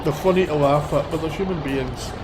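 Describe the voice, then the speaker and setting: A man's voice: on the high side, brisk. A man with a cold, sniffling a little, giving blunt, rambling live commentary while out on a city street on a handheld phone. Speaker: livestreamer. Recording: loud street traffic behind. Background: traffic and vehicles.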